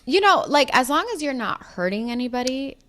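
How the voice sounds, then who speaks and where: A woman's voice: high-pitched, sing-song, fairly quick, fairly bright tone. A young woman, a Spanish speaker, co-hosting a casual chat in an earnest, self-deprecating way, often tripping over her words. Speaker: podcast co-host.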